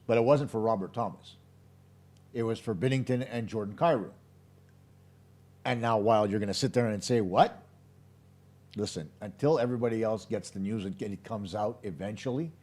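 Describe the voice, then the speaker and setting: A man hosting a live post-game hockey show, his voice hoarse, talking in an emphatic, opinionated way straight to his viewers. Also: on the low side, a little fast, a little mellow. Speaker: hockey podcast host.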